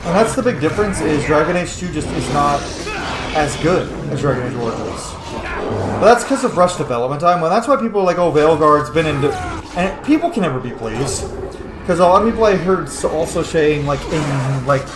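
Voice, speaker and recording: A man's voice: a little high, expressive, brisk. A man giving casual gaming commentary in an opinionated, rambling way with plenty of swearing. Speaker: video game streamer. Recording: roomy echo with faint noise.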